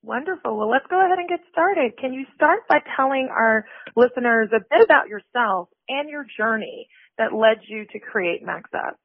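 A woman's voice: on the high side, animated, moderate pace, breathy edge, no room sound. A woman hosting a podcast interview, asking polite, upbeat questions. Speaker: podcast host. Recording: tinny phone-like line.

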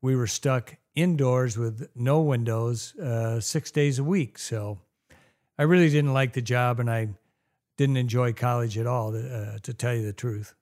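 Older man, plain-spoken and unhurried. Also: mid-range voice, darkish tone. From Ohio, USA. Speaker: guest. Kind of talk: casual interview chat.

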